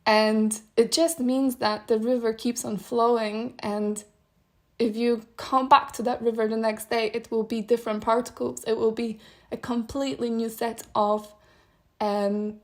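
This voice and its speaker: A young woman whose first language is Polish, hosting a solo podcast and reflecting aloud in a thoughtful, meandering way with soft laughs. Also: a little high, level tone, a little slow. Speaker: podcast host.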